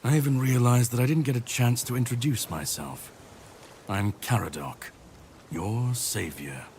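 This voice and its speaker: An actor playing a grim, world-weary warrior on a mission in a video game, speaking in a grave, measured way. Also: male voice, mid-range voice, a little mellow, close to an everyday voice. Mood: wry, relieved. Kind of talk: dramatic video game dialogue acting. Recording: clear background noise and ambience.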